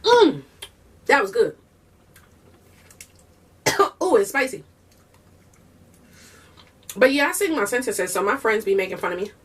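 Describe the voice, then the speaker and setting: Fast-talking woman with a Southern Puerto Rican lilt. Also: on the high side, big pitch swings, husky, far from the mic. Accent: Puerto Rican-tinged Southern US. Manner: breaks into bursts of singing. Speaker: vlogger and mother. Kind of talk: casual mukbang chat while eating.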